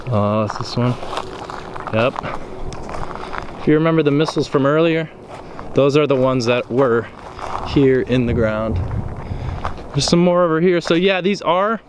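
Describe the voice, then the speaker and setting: A man's voice: mid-range voice, a little slow, long pauses. American man vlogging outdoors, noisy camera audio. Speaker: host of an exploring vlog. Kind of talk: casual walking commentary to viewers. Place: outdoors in an open overgrown field.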